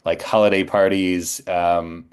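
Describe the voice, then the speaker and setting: Middle-aged man, deep-pitched voice, informal podcast guest, conversational storytelling. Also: level tone, measured pace, clean tone.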